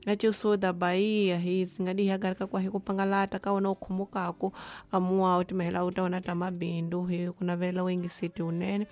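Flat, low-voiced woman radio presenter. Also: brisk. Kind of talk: radio announcements.

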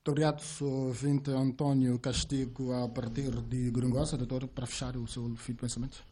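A man hosting a call-in radio show, greeting callers in a brisk, routine way. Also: mid-range voice, level tone, mellow, slight background noise. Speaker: radio host.

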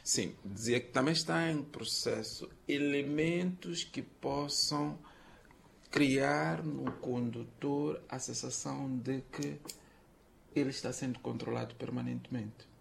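A man speaking as an expert guest on a radio panel, in a measured, formal way. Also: medium pitch, steady tone, gravelly, a little hiss or hum. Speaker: expert guest on traffic safety.

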